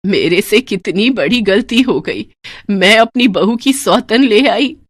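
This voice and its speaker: A woman's voice: mid-range voice, expressive, slow, no room sound. A woman voicing an elderly, fretful mother-in-law with a plaintive way of talking in a storybook drama. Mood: remorseful.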